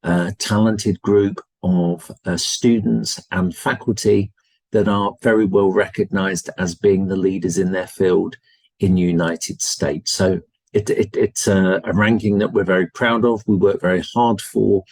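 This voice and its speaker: A man's voice: sits low, fairly even intonation, a little slow, a little mellow, no echo. A man with a British English accent, a university representative giving a polite, enthusiastic webinar presentation over a video call. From United Kingdom.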